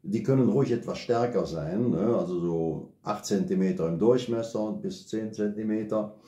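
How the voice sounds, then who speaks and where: Older man, low dark voice, patient step-by-step explainer, recorded in an echoey living room. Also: moderate pace. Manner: calm, step-by-step explaining with frequent tag "ne?". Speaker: bushcraft expert and father. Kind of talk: relaxed explanatory chat.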